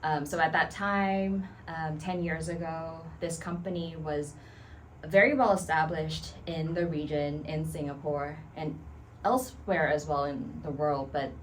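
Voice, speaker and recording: A woman in her thirties from Thailand, answering interview questions in a measured, thoughtful way with many pauses. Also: low-pitched, fairly even intonation, a little hiss or hum, poor audio. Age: 30s. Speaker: guest.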